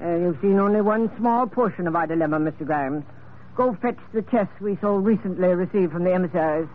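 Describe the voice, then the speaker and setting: A high-pitched, self-important major, acted by a man in a vintage radio drama. He schemes in ornate, courtly phrases. The old recording is narrow and faintly noisy. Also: a little fast, natural voice. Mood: grudging. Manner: florid, formal, pompous speech. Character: wily, pompous male major, genteel schemer.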